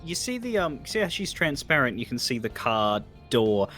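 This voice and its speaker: A man's voice: mid-range voice, big pitch swings, moderate pace, fairly bright tone. A man co-hosting a video game let's play with casual, joking commentary. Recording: game music faintly behind. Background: faint video game music.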